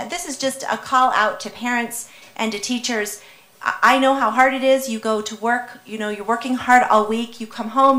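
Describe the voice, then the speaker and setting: Councilwoman, mid-pitched, earnest and encouraging, echoey chamber. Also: fairly bright tone. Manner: earnest, encouraging, speaks up with conviction. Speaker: city councilmember. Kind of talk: council meeting remarks.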